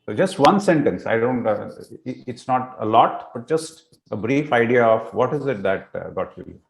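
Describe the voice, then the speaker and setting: Male coach, middle-aged, hesitant "uh" pauses, mid-pitched voice, roomy call audio. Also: animated, gravelly. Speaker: executive coach leading a workshop. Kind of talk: relaxed teaching talk with many fillers.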